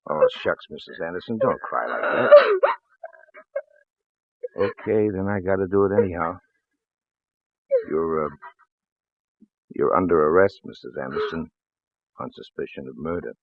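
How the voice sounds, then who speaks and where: An actor playing a folksy, shrewd small-town police lieutenant in a 1940s radio drama, hesitant and apologetic in manner. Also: male voice, low-pitched, normal speed, pauses often, no echo. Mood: apologetic, reluctant. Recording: muffled vintage radio recording, phone-like band.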